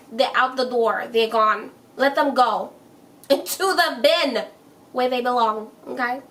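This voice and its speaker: A woman's voice: sits high, a bit bright, recorded from a distance, a little hiss or hum. A young woman talking to her viewers in a chatty, emphatic way, repeating her points and laughing as she gives self-help advice. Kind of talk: casual advice monologue.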